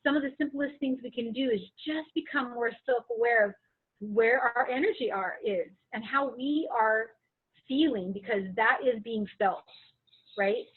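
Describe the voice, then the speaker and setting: A woman explaining earnestly in a book club video call, stressing her words in a measured way. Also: a little high, husky. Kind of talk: casual group discussion. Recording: tinny phone-like call audio.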